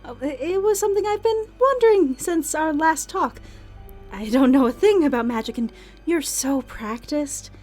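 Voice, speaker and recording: High-pitched, nervous young woman in character. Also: animated, moderate pace, a little mellow. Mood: curious, nervous. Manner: hesitant, anxious, eager to please. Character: nervous, curious young female arena master of ceremonies. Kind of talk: audio drama acting. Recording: music bed under the voice.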